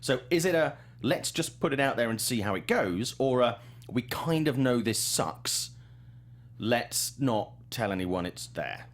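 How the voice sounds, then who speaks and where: A man's voice: mid-range voice, big pitch swings, measured pace. A man hosting a movie news talk show, chatting casually in an informal, wry and blunt way. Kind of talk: casual panel chat.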